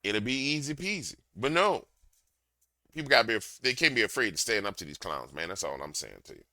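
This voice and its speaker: A man with a big booming voice doing a slangy, heated reaction rant into a desk microphone. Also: sing-song, fairly quick, dry recording, studio quality. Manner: loud, slangy, repetitive, heated. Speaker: commentator reacting to a clip. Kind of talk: ranting reaction commentary.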